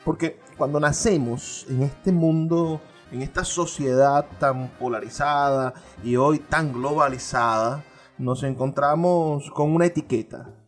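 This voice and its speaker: A middle-aged man hosting a radio show, talking in an earnest, musing way with pauses and repeated phrases. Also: fairly high pitch, faint music behind. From Venezuela. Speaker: radio host. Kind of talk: reflective radio monologue.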